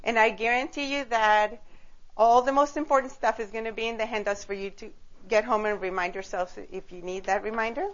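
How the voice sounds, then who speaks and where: A woman's voice: on the high side, fairly even intonation, a little fast. Woman leading a workshop, muffled live recording. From Costa Rica. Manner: conversational, engaging listeners with questions. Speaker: workshop leader, child psychotherapist and art therapist. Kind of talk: informal workshop talk to a live group. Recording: dull lo-fi live room recording.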